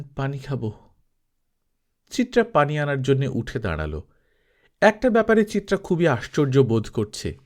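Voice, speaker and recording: A man narrates a literary story as an audiobook reader. His voice sits at a medium pitch, with scripted, storytelling delivery.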